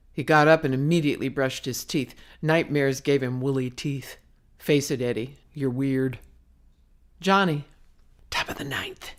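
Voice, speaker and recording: A woman narrating her own novel as an audiobook, in a dramatic storytelling manner with slangy, clipped phrasing. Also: deep voice. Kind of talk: scripted narration, audiobook reading.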